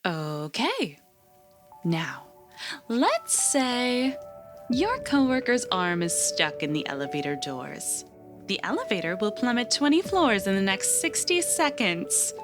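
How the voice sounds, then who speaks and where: A woman playing an unflappable, eerie agency interviewer in an audio drama, measured and clinical as she probes. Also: medium pitch, over music. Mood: calmly unsettling. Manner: measured, clinical, calmly probing.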